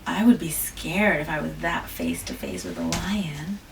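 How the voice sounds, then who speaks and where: Lady doing a slow storybook read-aloud w/ whispery bits, kinda far from the mic, hissy room. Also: low-pitched, sing-song, creaky. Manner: gentle, slow, dramatic whispers and gasps. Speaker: children's book reader. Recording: distant mic with background hiss.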